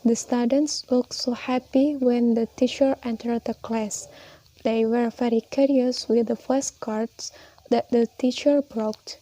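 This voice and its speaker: A woman's voice: on the high side, fairly even intonation, measured pace, mellow, low-quality recording. A young woman with an Indonesian accent, a student reading her poster presentation aloud carefully and steadily, now and then correcting herself. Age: young adult.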